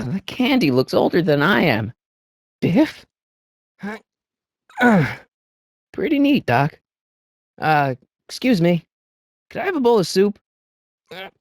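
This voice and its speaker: A man's voice: a little high, no room sound, clean studio sound. A man playing an earnest, anxious American teenage boy in a video game, with a hesitant, stammering way of talking to himself. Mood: curious, hesitant. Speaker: voice actor. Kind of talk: video game character voice acting.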